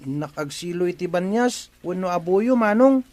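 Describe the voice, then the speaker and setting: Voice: mid-range voice, lively intonation, moderate pace, darkish tone, no echo, close to an everyday voice. Young village boy, as an actor plays him, Ilocano accent, respectful, eager, dry close recording, radio drama. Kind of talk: comedy radio drama acting.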